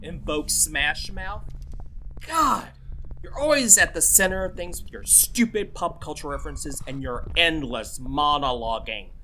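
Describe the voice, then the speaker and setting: A woman's voice: sits low, expressive, unhurried, bright, forceful tone, slight background noise, exaggerated voice, poor audio. A woman playing a snarky, gleeful villain in a comic horror audio drama, sarcastic and taunting. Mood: mocking. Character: snarky, gleeful horror-show villain host, pop-culture mocking.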